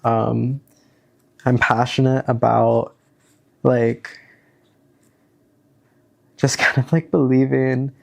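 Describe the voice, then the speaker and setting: A man's voice: medium pitch, flat delivery, drawn-out words, gravelly, long pauses. A young man with a cold and a stuffy, nasal voice, rambling through a self-help worksheet in a casual vlog. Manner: rambling, hesitant, many fillers. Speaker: vlogger. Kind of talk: casual chat, reading worksheet aloud.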